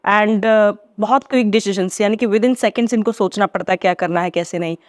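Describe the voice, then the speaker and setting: A woman hosting a podcast, asking curious, questioning follow-ups with soft trailing ends. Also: medium pitch, moderate pace, no room sound, studio quality. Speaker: podcast host. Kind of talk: interview questions.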